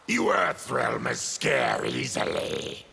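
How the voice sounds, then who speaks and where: A diseased, embittered monster warrior from a fighting game. His voice is a heavy gravelly growl that swings wildly in pitch. He speaks slowly and proudly, with bright, forceful menace over some noise. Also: huge pitch swings, full character voice. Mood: defiant, bitter. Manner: slow, menacing, proud declarations. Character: fierce, bitter, diseased Tarkatan mutant warrior with huge fangs. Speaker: retired soldier. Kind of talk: video game fighting banter.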